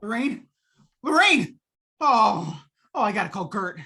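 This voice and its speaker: A woman playing a frantic, hapless working man in an old-time radio drama, stammering and flustered in a husky voice. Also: medium pitch, big pitch swings, a little slow, sharp tone, full character voice. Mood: panicked.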